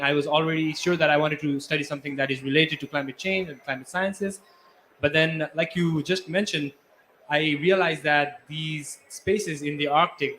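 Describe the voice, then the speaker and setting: Male researcher, slightly high voice, earnest explanatory panel talk, faint background noise. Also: pushed and bright, poor audio. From Nepal.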